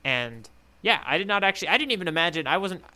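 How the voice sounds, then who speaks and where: Man, podcast co-host, sharp bright tone, crisp and resonant, casual discussion, musing aloud. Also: sits high, big pitch swings, fast. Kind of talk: casual chat, manga readalong discussion.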